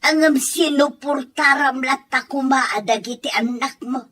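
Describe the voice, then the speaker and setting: A woman's voice: high-pitched, a little slow, fairly bright tone. A woman acting an older mother of grown children in an audio drama, earnest and reproachful, with a Filipino accent. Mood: scolding, hurt. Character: older Ilocano mother scolding and advising her grown children.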